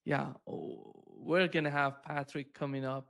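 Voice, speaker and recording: A man's voice: on the high side, steady tone, unhurried, vocal fry, a bit bright. A man hosting a podcast interview in a casual, conversational way. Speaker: podcast host.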